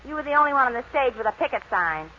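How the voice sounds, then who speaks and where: A woman's voice: high voice, very animated, racing. A woman with an American accent playing a teasing, wisecracking sidekick with punchy delivery in 1940s radio comedy. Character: teasing, wisecracking woman who needles the vain star. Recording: tinny band-limited 1940s radio recording, faint noise.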